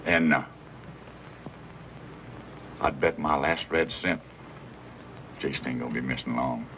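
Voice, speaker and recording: An actor playing a kindly, plain-spoken American frontier wagon hand with rustic, folksy speech in a radio western. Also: male voice, a bass voice, a little slow, fairly bright tone, slight background noise. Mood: consoling, wry. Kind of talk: western radio drama acting. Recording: muffled lo-fi radio recording with music.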